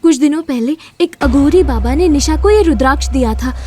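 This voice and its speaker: A woman's voice: high voice, fairly quick, dark tone, a little hiss or hum, natural voice. A woman acting an inquisitive, perceptive young friend in an audio drama, speaking earnestly. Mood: thoughtful.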